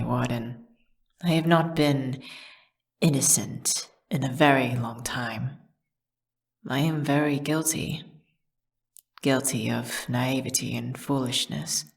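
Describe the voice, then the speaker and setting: A woman playing a world-weary, guilty witch prisoner confessing her story in a dramatic ASMR audio roleplay, measured and theatrical with breathy, whispery dips. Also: rumbling low, exaggerated voice. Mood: wry, resigned.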